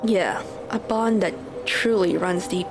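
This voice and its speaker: A woman's voice: lowish voice, takes it slowly, noisy background. A woman voicing a composed, thoughtful space traveller as she reads video game dialogue aloud. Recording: game music behind.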